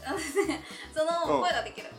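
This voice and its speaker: High pitched girly-playful woman radio guest, talks fast, giggly casual chat, music in back. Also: creaky. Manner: playful, giggly, asks for praise. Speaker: radio show guest, voice performer.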